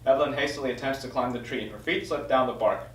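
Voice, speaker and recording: A man narrates scene headings and action from a screenplay at a table read. His voice is mid-pitched, caught from some distance in a roomy, echoing space. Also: fast. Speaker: stage directions reader. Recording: roomy echo, distant microphone.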